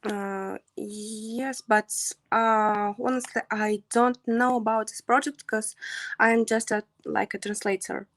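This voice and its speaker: A young woman with a Kazakh accent, speaking English as a second language as she presents a project on a video call, halting with many fillers. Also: on the high side, steady tone.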